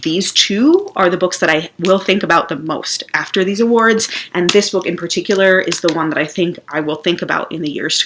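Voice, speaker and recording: A woman's voice: lowish voice, a bit bright. A woman giving a casual, chatty vlog review of the books she has read, thinking aloud as she goes. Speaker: book reviewer.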